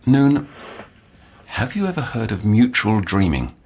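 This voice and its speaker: An actor playing an earnest, brooding middle-aged male doctor in an audio drama, speaking formally and haltingly in erudite language. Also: lowish voice, sing-song, a little mellow, breathy edge, heavily acted. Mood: gentle, reassuring. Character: earnest, scholarly, brooding male doctor haunted by past failures.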